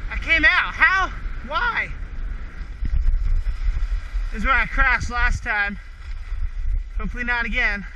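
Very high-voiced young man skiing. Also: takes it slowly, pauses often, pushed and bright, a little hiss or hum, rough recording. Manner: chatty, laughing, talking to friends mid-run. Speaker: student skier. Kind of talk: casual running commentary while skiing. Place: outdoor ski slope in snowy woods. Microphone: head-mounted action camera.

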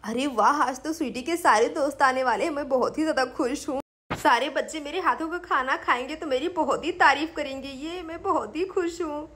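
A woman voicing a proud, excitable young aunt with bubbly chatter in a children's doll story. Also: sits high, a little fast, a little hiss or hum. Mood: excited.

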